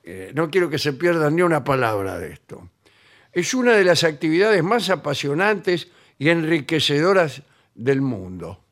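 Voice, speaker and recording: A man with an Argentine accent, reading a tourism report aloud with deadpan, sarcastic asides as the comedy host. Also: on the high side, moderate pace. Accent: Rioplatense Spanish.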